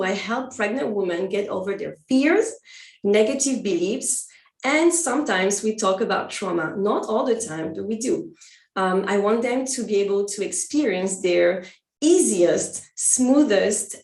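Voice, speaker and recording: A woman's voice: medium pitch, expressive, unhurried, creaky, far from the mic. A woman from France with a French accent, a birth coach speaking as a podcast guest. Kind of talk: podcast interview. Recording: echoey room.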